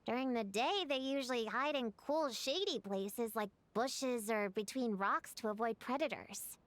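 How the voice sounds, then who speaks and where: A woman's voice: on the high side, a little slow, dry recording, close to an everyday voice. A woman voicing an earnest, bossy little witch girl who lectures about creatures in a game. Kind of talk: game voice acting.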